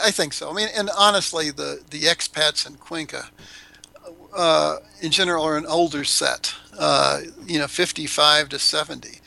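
A man's voice: a little high, gravelly, bright, forceful tone, low-quality recording. An older man, a podcast guest, chatting casually and bluntly, rambling with restarts and the odd swear. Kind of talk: casual interview chat. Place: Ecuador.

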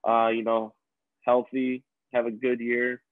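A man's voice: medium pitch, completely flat delivery, measured pace, no room sound. A young man in his senior year of college, chatting casually on a podcast, laid-back and saying "you know" a lot. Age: young adult. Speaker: podcast co-host. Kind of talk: casual chat. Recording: tinny phone-like sound.

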